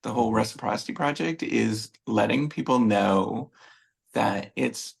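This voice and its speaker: A man's voice: lowish voice, moderate pace, creaky, husky. A man hosting an interview, asking questions in a loose, rambling, hesitant way. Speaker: host. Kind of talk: casual interview chat.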